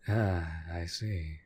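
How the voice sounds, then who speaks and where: Man playing a deep, husky devoted demon. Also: a little mellow, dry recording, heavily acted. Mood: tender. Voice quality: low, husky, breathy. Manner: slow, formal, courtly phrasing. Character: devoted, lovestruck male demon bound to a summoner. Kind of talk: intimate audio roleplay addressed to the listener.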